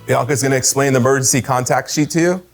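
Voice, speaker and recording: A young man coaching a room of sales agents in an animated, punchy way. He talks informally and keeps checking in with "right?" after each point. His pitch sits in an ordinary middle range. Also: fast talker, loud music behind the voice. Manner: emphatic, animated, tags "right?" often; slangy and informal. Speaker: sales trainer. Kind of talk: casual training talk.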